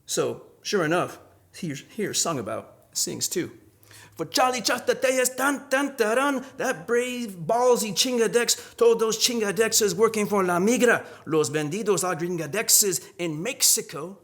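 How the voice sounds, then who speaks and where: Male poet from Arizona, slightly high-pitched, for readings and talk on his own poetry. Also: animated, measured pace, fairly bright tone. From Arizona, United States.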